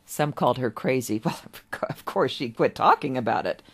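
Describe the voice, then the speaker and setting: A woman voicing a gentle, wistful, very old man in a story, musing kindly with little rhetorical asides. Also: a bass voice, animated, normal speed, slightly rough, full character voice. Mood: wistful, confiding.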